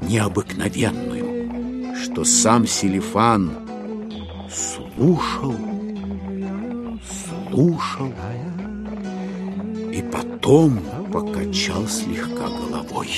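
A man's voice: high-pitched, sing-song, very slow, long pauses, loud music behind the voice, exaggerated voice. A man acting as the wry narrator of a classic novel in a dramatised audiobook. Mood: wry, storytelling.

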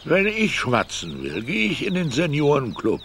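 An actor playing a brusque, grumbling retired security guard in a radio drama. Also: male voice, fairly high pitch, wildly swooping pitch, normal speed, vocal fry, fairly bright tone, slight background noise, exaggerated voice. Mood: grumpy, dismissive. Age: older, retired. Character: gruff retired old security guard with a dog.

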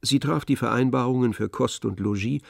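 A man's voice: medium pitch, a little fast, creaky, dry recording, clean studio sound. A man reading literary narration as the storyteller of a radio play.

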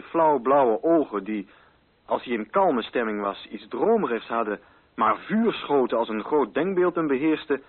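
A man reading literary narration for a radio play in a measured, formal and carefully articulated way. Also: mid-range voice, breathy edge, narrow, tinny audio.

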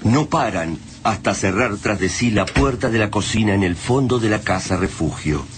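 A man's voice: on the low side, animated, moderate pace, a little hiss or hum. A man with an Argentine accent delivering scripted narration for a radio drama. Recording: music behind the voice.

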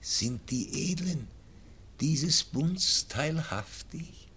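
An actor playing a frail, dying old nobleman, speaking verse slowly and fading into whispers. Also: fairly high pitch, lively intonation, a bit bright, slight background noise, exaggerated voice. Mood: solemn. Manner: slow, fading, drops into whispers. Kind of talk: classic radio drama verse.

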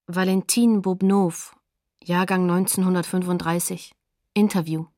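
An actress as a neutral announcer, naming witnesses and sources in a scripted radio documentary. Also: female voice, on the low side, fairly even intonation, a little slow, a little mellow, no room sound, studio quality. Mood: neutral, factual.

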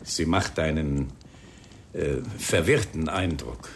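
A man playing a polite, persistent police inspector in a radio crime drama, speaking in a formal, measured way. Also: extremely low pitch, vocal fry, a little hiss or hum, heavily acted. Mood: hesitant, careful. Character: polite, persistent male police inspector breaking grim news. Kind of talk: radio play acting.

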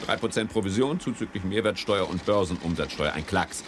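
An actor playing a cocky, persuasive commodities broker in a patronising, grandiose manner, in a 1970s German radio play. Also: male voice, low voice, fairly quick, background noise, close to an everyday voice. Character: slick, cocky male commodities broker talking up quick riches.

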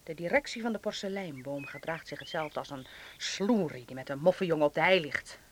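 A man's voice: on the high side, a little fast, a bit bright, a little hiss or hum, lightly acted. A man acting a sardonic, principled patriot in a Dutch wartime radio drama, with a biting and indignant way of talking. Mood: bitter sarcasm.

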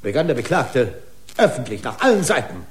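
An actor playing an indignant, officious company lawyer pleading in court with pompous, long-winded legalese in a radio drama. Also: male voice, mid-range voice, big pitch swings, a little fast, a little hiss or hum, close to an everyday voice. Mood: officious, aggrieved. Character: indignant, officious corporate attorney arguing in court. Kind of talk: German radio drama acting.

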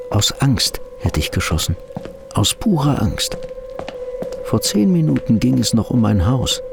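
A man's voice: on the low side, vocal fry, heavily acted. An actor playing an anxious, bitterly ironic fugitive businessman in a radio drama, thinking aloud in interior monologue. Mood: shaken, brooding.

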